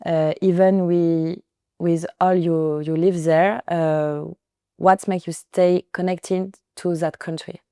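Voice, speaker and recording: A woman's voice: fairly low pitch, dark tone, smooth, no echo, clean studio sound. A woman hosting an interview podcast, asking questions in a hesitant, friendly way. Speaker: podcast host.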